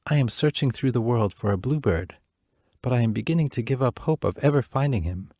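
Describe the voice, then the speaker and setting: A man voicing a sorrowful young princess, pleading and tender, in a higher voice than his narration. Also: lively intonation, brisk, mellow, dry recording, clean studio sound. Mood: weary.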